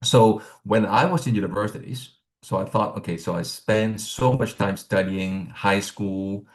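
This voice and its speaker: A man's voice: fairly low pitch, measured pace. A middle-aged man from Hong Kong, teaching about accounting credentials in a loose, rambling way, full of fillers and "right?" tags. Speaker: accounting exam instructor. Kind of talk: casual teaching talk.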